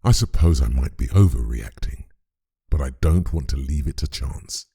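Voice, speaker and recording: Gentle parish priest, extremely low voice, husky, earnest, audio drama. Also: male voice, lively intonation, normal speed, exaggerated voice. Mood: weary, concerned. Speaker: voice actor.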